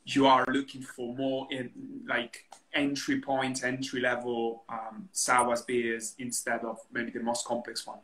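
A man's voice: mid-range voice, completely flat delivery, unhurried, few pauses, fairly bright tone. A man in his thirties hosting a casual video call interview from home, asking hesitant questions with fillers. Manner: hesitant questions with fillers, non-native phrasing. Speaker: host. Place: living room at home. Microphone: laptop webcam on video call. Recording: roomy webcam audio.

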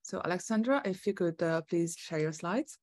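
A woman's voice: on the low side, animated, normal speed, darkish tone, no room sound. A woman moderating an online research seminar who introduces speakers politely, with frequent small fillers. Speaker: event moderator.

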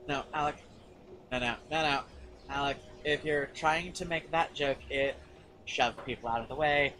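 A young American man livestreaming a game, chatting in an expressive, sweary, teasing way. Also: a little high, moderate pace, a little hiss or hum. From California, USA. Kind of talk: casual banter while streaming a game. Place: indoor room, seated in armchair.

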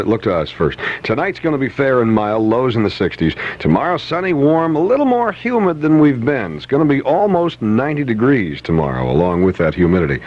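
A man working as a radio DJ, chatting breezily through weather and announcements with conversational asides on a 1970s aircheck. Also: fairly low pitch. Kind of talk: casual live radio DJ patter with weather and announcements. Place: radio studio. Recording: dull 1970s AM radio aircheck, music behind.

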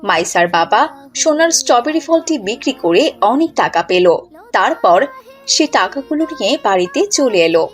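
A woman's voice: mid-range voice, very animated, normal speed. A woman narrating a scripted children's cartoon story.

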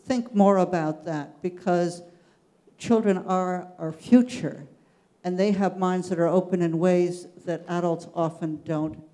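Woman with a mellow, measured voice. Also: fairly low pitch. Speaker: audience member, education committee chair. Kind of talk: audience comment at a panel discussion. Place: large theatre hall.

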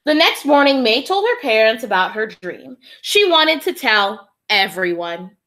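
A young woman reading a children's storybook aloud like a teacher, in an expressive, deliberate storytelling manner. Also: a little high. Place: small indoor room with classroom decorations. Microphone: webcam or laptop at arm's length.

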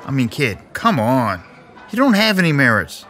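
Man playing a sneering, sharp-voiced tormentor. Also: medium pitch, wildly swooping pitch, moderate pace, soft background music, a faint crowd. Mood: mocking. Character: mocking, scornful male tormentor babysitting a spoiled young man. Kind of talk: audio drama acting.

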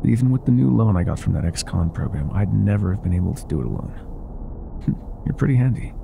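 A man playing an older ex-mafia boyfriend in an intimate ASMR roleplay, speaking softly and affectionately with some hesitation. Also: low voice, big pitch swings, fairly quick, gravelly, noisy background, full character voice. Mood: teasing, warm. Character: older ex-mafia boyfriend, tender, teasing, vulnerable bar owner.

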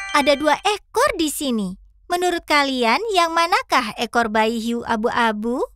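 A woman voicing a perky, caring young fox who hosts a children's cartoon and talks to the viewers. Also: high-pitched. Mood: curious. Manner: animated, clear, addressing children.

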